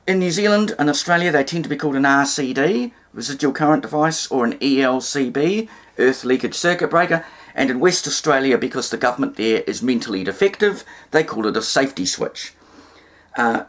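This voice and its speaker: A man giving an informal, hands-on demonstration, explaining things step by step in a somewhat hesitant way. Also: on the high side. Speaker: hobbyist presenter demonstrating radio repair.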